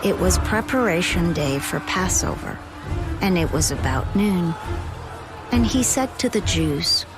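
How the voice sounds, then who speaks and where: Lowish female narrator, solemn slow bible drama reading, music under her. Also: animated, rough recording. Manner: solemn, measured. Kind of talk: dramatic scripted scripture narration. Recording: studio voice over dramatic music.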